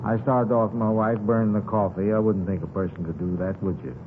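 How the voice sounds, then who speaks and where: Noisy narrow-band vintage radio, male actor as folksy American newsstand owner, lowish voice, chatty, digressive, 1950s crime drama. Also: fairly even intonation, a little fast, close to an everyday voice. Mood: excitable, rambling. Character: chatty, rambling newsstand owner, a married man witnessing a shooting.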